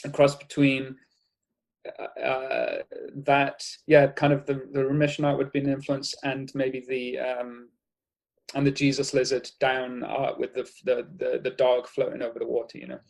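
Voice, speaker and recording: A man from Wales with a light Cardiff accent, chatting as a guest in a relaxed interview. He talks in a middle-pitched voice, thinking aloud with many small restarts and fillers. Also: normal speed, gravelly. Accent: Welsh, Cardiff. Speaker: guest artist. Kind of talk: casual interview chat.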